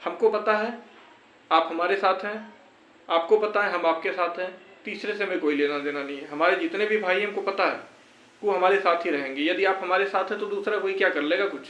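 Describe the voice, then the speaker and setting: A man's voice: sits high, a little fast, sharp tone. A young man gives an informal motivational address, earnest and reassuring, repeating phrases for emphasis. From India. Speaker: exam-prep vlogger. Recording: echoey room with faint background noise.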